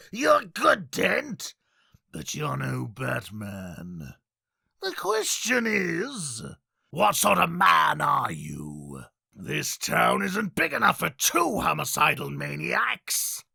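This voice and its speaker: A gravelly, manic clown villain. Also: male voice, fairly high pitch, bright, forceful tone, no room sound, clean studio sound, heavily acted. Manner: taunting, singsong, drawn-out delivery. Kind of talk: dramatized comic book villain acting.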